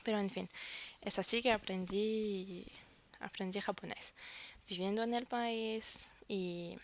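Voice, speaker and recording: A woman's voice: mid-range voice, a bit bright. A woman whose first language is French, vlogging casually to camera and searching for words as she talks.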